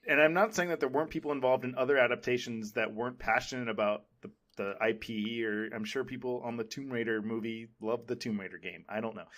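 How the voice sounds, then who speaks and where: A man's voice: mid-range voice, fairly bright tone. Enthusiastic, rambling male podcast co-host. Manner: rambling, enthusiastic fan talk. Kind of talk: casual chat, pop culture discussion.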